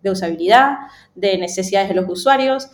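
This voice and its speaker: A woman's voice: medium pitch, fairly quick. Woman in a roomy, echoing space. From Ecuador. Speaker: interview guest, design professional. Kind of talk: casual conversational interview.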